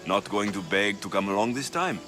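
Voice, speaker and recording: A blunt young Spartan mercenary in a video game, his voice low and even. He speaks in short, dry, matter-of-fact lines. A loud score swells behind him. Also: fairly quick, faint music behind. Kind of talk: video game cutscene acting.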